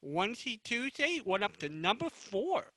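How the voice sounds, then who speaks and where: High-pitched, bright-voiced man moderating. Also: sing-song, normal speed, dry recording, clean studio sound. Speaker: panel moderator. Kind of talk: unscripted panel introductions.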